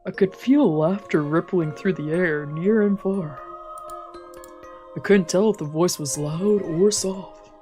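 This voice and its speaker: A woman's voice: lowish voice, slow, dark tone, faint music behind. A woman streamer reading a visual novel aloud as dramatic narration. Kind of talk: dramatic narration of a let's play.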